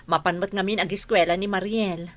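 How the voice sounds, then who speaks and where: A woman's voice: medium pitch, steady tone, brisk, natural voice. A woman playing a beleaguered married mother who sells food in a village, in Filipino-accented radio drama. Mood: modest, hesitant.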